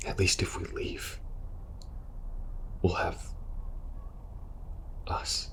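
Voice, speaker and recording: A man playing a war-weary, protective soldier in an intimate ASMR audio roleplay, speaking earnestly and hesitantly, sometimes hushed. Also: extremely slow. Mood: worried, pleading. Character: war-weary young male soldier pleading with best friend, protective, earnest.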